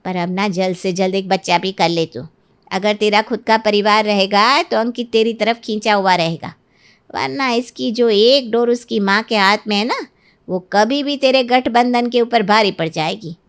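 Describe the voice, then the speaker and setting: A woman playing a smooth-talking, manipulative middle-aged mother-in-law in a Hindi cartoon story, persuasive and full of feigned concern. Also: mid-range voice, moderate pace, natural voice. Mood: smug scheming.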